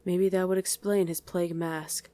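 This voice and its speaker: Wry, irritable female demon played by a woman, slightly low voice, conversational ASMR roleplay banter with a work partner. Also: little pitch movement, moderate pace, warm tone, natural voice. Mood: casual, curious. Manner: conversational, hesitant musing, bickering with a partner. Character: female demon on mortal-hunting duty, wry, easily irritated. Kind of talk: ASMR roleplay acting to an unheard listener.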